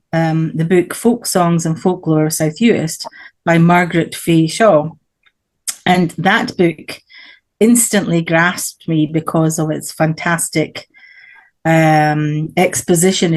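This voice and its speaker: Scottish woman, low voice, middle-aged, measured storytelling, video call. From Scotland. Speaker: guest author and singer. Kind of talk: interview over video call.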